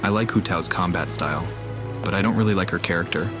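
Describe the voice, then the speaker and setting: Low-voiced man giving frank game commentary. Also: quick pace. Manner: frank, plainspoken opinions. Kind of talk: casual gameplay commentary. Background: game music.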